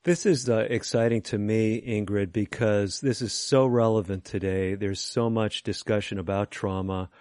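A man, a physician, hosting an interview with measured, conversational questions. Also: fairly low pitch, fairly even intonation, darkish tone, dry recording, clean studio sound.

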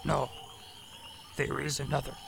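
Tiny ancient alien sage, super croaky, slow backwards phrasing, stern mentor, noisy audio drama. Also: male voice, mid-range voice, monotone. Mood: gloomy. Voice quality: gravelly, croaky. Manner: inverted word order, slow deliberate speech. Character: ancient small wise male alien Jedi master, stern mentor.

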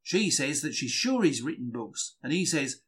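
Animated Englishman reading a wry diary. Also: mid-range voice, normal speed, husky. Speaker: diary reader. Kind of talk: dramatic first-person diary reading.

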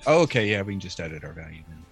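A man with a fairly low voice chats at a game table, matter-of-fact and helpful as he explains the rules. Faint music plays behind him. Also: expressive, very fast, vocal fry, breathy edge. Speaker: player. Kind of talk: casual chat at a game table.

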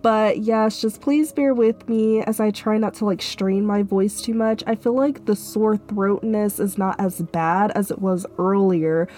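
A young woman talks on her own podcast while fighting a cold, her voice stuffy and nasal from congestion. Her tone is mellow and on the darker side, and she speaks earnestly, filling gaps with "um" and "like". Faint music sits under her voice. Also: mid-range voice, steady tone, a little slow, hardly any pauses. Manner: earnest, filler-heavy casual talk. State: stuffy-nosed, sick. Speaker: podcast host. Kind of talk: solo podcast talk. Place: Florida.

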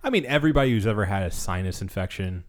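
A man with a very low voice co-hosting a relaxed podcast chat. He is laid-back and dry, dropping short quips and wry asides. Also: racing. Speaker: podcast co-host. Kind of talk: casual chat over beer.

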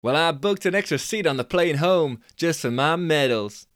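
Man putting on a Californian accent, brash young male snowboard champ, loud, boastful, high-pitched, smooth. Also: lively intonation, normal speed, no echo, clean studio sound. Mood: cocky. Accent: Californian, put on. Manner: loud, boastful, surfer-dude drawl.

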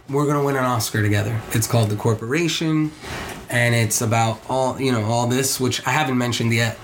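A man with a Puerto Rican accent, a podcast guest telling a story casually, with many restarts and voiced quotes of others. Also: noisy background. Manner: rambling with frequent restarts, voices others in quotes with higher pitch.

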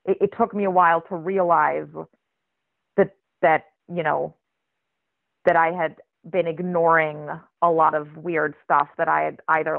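A writer raised in Israel with a flat American accent, speaking as an interview guest in a thoughtful, searching way with many restarts. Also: mid-range voice, vocal fry, no room sound. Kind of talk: reflective conversational interview. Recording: tinny phone-line recording.

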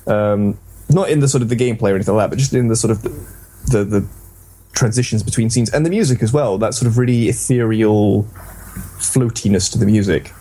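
Mellow-voiced, rambling man on a podcast. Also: on the low side, a little fast, slightly rough. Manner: hesitant, rambling, self-deprecating. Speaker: podcast co-host. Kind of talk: casual podcast discussion of games.

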